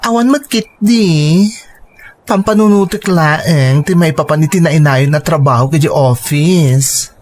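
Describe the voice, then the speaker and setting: A woman's voice: low voice, measured pace, a little mellow, a little hiss or hum. A woman with an Ilocano accent acting an earnest, persuasive young working woman in a radio drama. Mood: weary, complaining.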